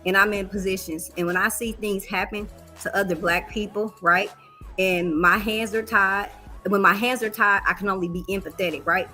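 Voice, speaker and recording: Woman, forties, heated debate, profane, emphatic, repetitive, bright forceful voice. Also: mid-range voice, fairly even intonation, normal speed, soft background music. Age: 40s. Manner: emphatic, profane, repeats phrases for stress.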